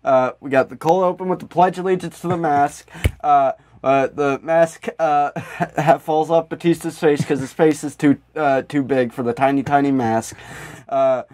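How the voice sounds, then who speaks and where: A man's voice: on the high side, fairly even intonation, normal speed, a little mellow. An American man co-hosting a comedy podcast in casual banter, swearing freely and often laughing through his own sentences. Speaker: podcast co-host.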